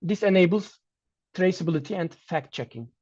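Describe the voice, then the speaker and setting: Man, Turkish native speaker, academic lecture, measured and hesitant, muffled audio. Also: sits high, darkish tone. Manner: measured, with frequent restarts and fillers. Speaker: guest lecturer. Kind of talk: online academic lecture with slides.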